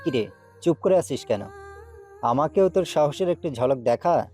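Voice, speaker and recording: Man acting swaggering gang boss, dark voice. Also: fairly high pitch, animated, moderate pace, faint music behind, lightly acted. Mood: taunting, commanding. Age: young adult. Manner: commanding, taunting. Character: cruel, swaggering young male campus gang boss. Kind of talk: Bengali audio story acting.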